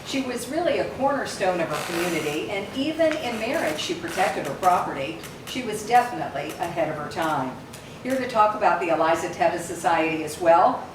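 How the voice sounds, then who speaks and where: A woman hosting a ceremony, introducing speakers in an upbeat, conversational way. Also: fairly low pitch. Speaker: event host. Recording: echoey hall from far off, noisy.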